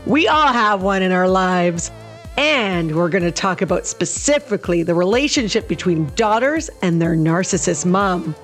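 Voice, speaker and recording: A woman's voice: lowish voice, lively intonation, moderate pace. A woman reading a podcast's intro in an upbeat, promotional way. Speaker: podcast announcer. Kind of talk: promo intro over music. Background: music bed.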